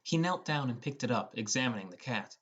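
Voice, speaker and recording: A man's voice: medium pitch, lively intonation, a little fast, fairly bright tone, husky, highs cut off. A man reading scripted first-person narration as the narrator of an audiobook.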